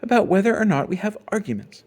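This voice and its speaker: Man playing a firm, high-pitched mother bear. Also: sing-song, quick pace, darkish tone. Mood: firm, reasoning. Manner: stern, firm, explaining. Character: stern, fed-up mother bear.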